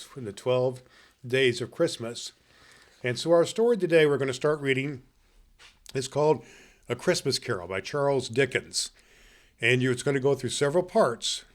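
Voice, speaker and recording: Man acting as jolly old Santa Claus, hearty, grandfatherly, kindly, festive storybook podcast host, mid-pitched. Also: close to an everyday voice. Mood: kindly chatty. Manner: hearty, grandfatherly, addressing children warmly.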